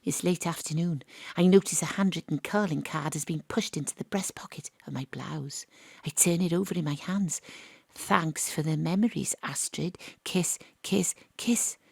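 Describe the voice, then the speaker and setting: A woman's voice: low-pitched, moderate pace, vocal fry, husky, dry recording, full character voice. An actress playing a wry, hard-boiled woman detective, narrating in noir style and trading dry lines. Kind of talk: noir first-person narration and dialogue. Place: home studio.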